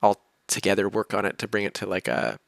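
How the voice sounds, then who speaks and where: A low-voiced man with a gravelly, fry-laden edge talks in a loose, relaxed way, stringing long explanations together with little chuckles. It sounds dry and close, as on a video call. He is a game developer in a casual livestream Q&A. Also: quick pace, no echo. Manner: relaxed, explains at length, chuckles between points. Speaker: game developer answering questions.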